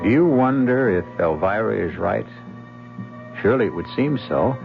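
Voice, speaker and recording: A man's voice: lowish voice, animated, moderate pace, creaky. A man hosting and narrating a radio mystery series in a theatrical style, with an urbane, knowing tone. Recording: muffled old radio broadcast with music.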